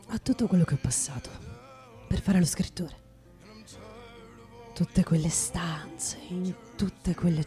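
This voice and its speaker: A low, mellow woman's voice plays a bitter, hard-drinking writer in a radio play. The delivery is brooding and halting, repeating words for emphasis. Faint music runs behind it. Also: natural voice. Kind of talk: theatrical radio drama monologue.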